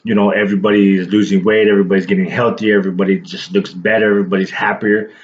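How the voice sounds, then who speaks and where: A man in his late twenties talks to the camera in a low, casual voice, circling back and restarting his sentences often. The sound is dull and lo-fi, with a faint hiss underneath. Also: little pitch movement, very fast. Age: 20s. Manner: rambling, loose, lots of fillers and restarts. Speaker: vlogger. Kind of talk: casual personal story to camera.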